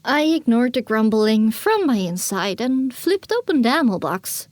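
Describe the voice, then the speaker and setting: A woman's voice: fairly high pitch, sing-song, unhurried, darkish tone, dry recording. A woman narrating a dramatic audiobook in the first person as a young filly pony, reflective and scripted. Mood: descriptive. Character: young filly pony recounting her wasteland journey.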